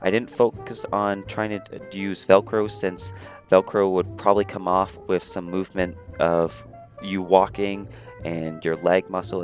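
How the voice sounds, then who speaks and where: Sick guy, raspy and kinda monotone, lowish voice walking thru a craft tutorial, soft music under it. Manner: plain step-by-step instructions, many "you're gonna". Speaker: cosplay prop maker, channel host. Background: faint music.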